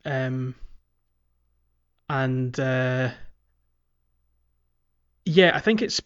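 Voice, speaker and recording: A man's voice: medium pitch, drawn-out words, a bit bright, clean tone. A man co-hosting a podcast in casual game discussion, often correcting his phrasing as he goes. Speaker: podcast co-host. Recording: muffled lo-fi audio.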